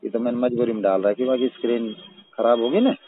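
Husky man on a tinny phone line. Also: mid-range voice, steady tone, quick pace, no echo. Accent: Mewati. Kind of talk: casual private phone chat. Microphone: telephone. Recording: muffled, tinny phone call recording.

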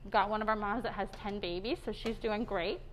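A woman's voice: mid-range voice, fairly even intonation, fairly quick, slight background noise. A young woman zookeeper, explaining things to viewers in a friendly way during a live stream from an indoor animal care room. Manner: friendly, explanatory, talks to viewers while working. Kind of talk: live behind-the-scenes zoo stream, answering viewer questions.

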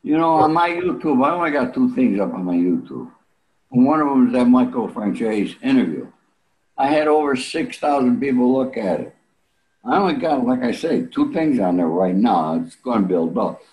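An elderly man talking casually and bluntly as an interview guest, salty and pausing often, on a video call from a room at home. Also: medium pitch, sing-song, darkish tone, rough recording. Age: 70s or older. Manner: blunt, salty, with frequent pauses.

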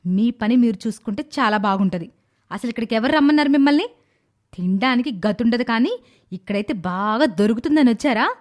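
A woman's voice: a little high, lively intonation, brisk, close to an everyday voice. A woman voicing an arrogant, spoiled, short-tempered young daughter-in-law in a dramatised moral story, with a scolding, haughty manner. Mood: angry, scornful.

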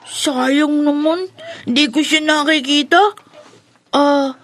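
Radio drama: curious, wistful Filipino boy, high voice, earnest and wondering. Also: fairly even intonation, slow, no room sound. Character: gentle, wistful blind young boy, curious.

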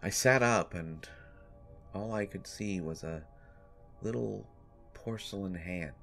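Slow, low-pitched haunted man acted out. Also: creaky, fairly bright tone, heavily acted. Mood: uneasy, hushed. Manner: halting, deliberate phrasing with long pauses. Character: haunted, sleepless, exasperated brother recounting a horror tale. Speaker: audio drama storyteller. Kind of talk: dramatic first-person horror monologue. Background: music.

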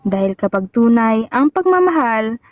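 A woman's voice: a little high, sing-song, measured pace. A woman giving scripted storytelling narration for a radio drama. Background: loud music.

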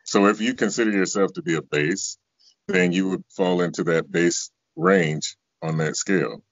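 Very deep-voiced American bass man. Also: moderate pace, no echo. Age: middle-aged. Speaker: guest, bass singer. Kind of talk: casual interview chat. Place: small room at home, indoors. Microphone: video call, close to device. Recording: dull lo-fi video call audio.

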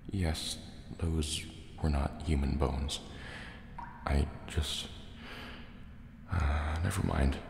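A man voicing a huge, proud, wary sea serpent dragon in a soft-spoken, menacing ASMR roleplay, deliberate and a little hesitant. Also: rumbling low, creaky, slight background noise, exaggerated voice. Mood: reluctant, haughty. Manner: slow, deliberate, threatening yet hesitant. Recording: roomy cave reverb, faint water ambience. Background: water sounds and cave ambience.

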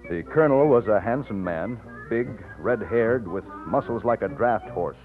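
A loyal, plainspoken young Texan, played by a male actor, speaks in a fairly low American voice with folksy respect. It is old-time radio drama, heard through a narrow vintage recording with faint music. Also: expressive, normal speed, close to an everyday voice. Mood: reminiscing. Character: loyal, plainspoken young Texan aide recounting his past.

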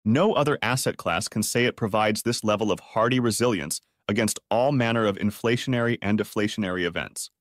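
A man presenting a scripted finance explainer in a didactic, measured manner with rhetorical questions. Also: on the low side, no echo, clean studio sound.

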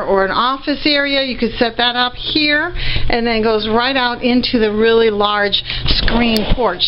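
A woman with a fairly high voice walks through a house, chatting upbeat and easy with plenty of ums. The sound is dull and lo-fi, caught by the camera's own mic, with faint noise underneath. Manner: chatty, upbeat, lots of fillers. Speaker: real estate auction previewer. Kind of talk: walking property tour commentary. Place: indoors and outdoors around a house. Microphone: handheld camera mic while walking.

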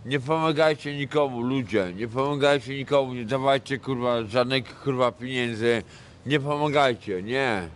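A middle-aged man from Poland, high and rambling, swearing a lot in a casual talk on a city street at night. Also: moderate pace. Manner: crude and sweary, rambling, mixes in broken English. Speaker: homeless man interviewed on the street. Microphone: vlogger's handheld camera mic.

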